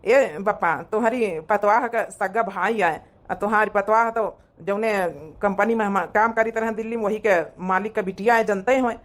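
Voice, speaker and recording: A woman's voice: medium pitch, fairly even intonation, moderate pace, few pauses, close to an everyday voice. A woman voicing an earnest, protective grown village son with an Awadhi accent in a cartoon comedy. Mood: earnest, persuasive. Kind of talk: Hindi cartoon comedy acting.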